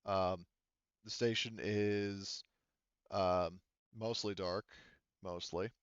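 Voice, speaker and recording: A man improvising narration as game master in a conversational way, halting as he searches for words and casually profane. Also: low voice, steady tone, clean tone, no room sound, muffled recording. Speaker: game master of a tabletop roleplaying game.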